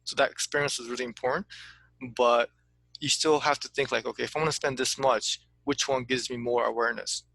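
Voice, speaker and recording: Bright-voiced man giving business advice. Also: medium pitch, fairly even intonation, a little fast, creaky, no room sound, poor audio. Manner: eager, repeats words when starting, says "to be honest with you". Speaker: insurance business consultant. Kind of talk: casual one-on-one consulting chat.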